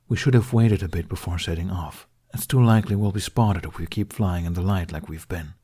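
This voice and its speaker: A man's voice: deep voice, brisk, mellow, slightly rough. A man voicing a loyal, exasperated talking dragon in an audiobook, blunt and dry in manner. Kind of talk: audiobook character acting.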